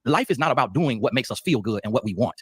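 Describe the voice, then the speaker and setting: Fast, husky man preaching his stand. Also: medium pitch, expressive, dry recording. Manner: firm and moralizing, declaring his stand. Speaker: debate guest. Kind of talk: heated debate, preacher-like cadence.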